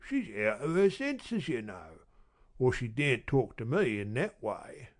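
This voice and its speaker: A woman reading an audiobook, voicing a gruff, menacing male robber in a lower, louder voice. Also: very animated, measured pace, full character voice. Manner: gruff, threatening.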